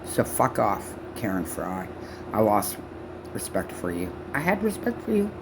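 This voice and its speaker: Loud, furious woman in her sixties. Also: a bass voice, very animated, noisy background. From Canada. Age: 60s. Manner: shouting, profane, accusatory; halting speech from false teeth. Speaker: vlogger. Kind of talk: angry rant to camera.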